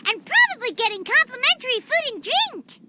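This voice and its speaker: A woman voicing a tiny, excitable floating companion girl in a video game cutscene, chatty and referring to herself in the third person. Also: very high voice, a little fast, full character voice.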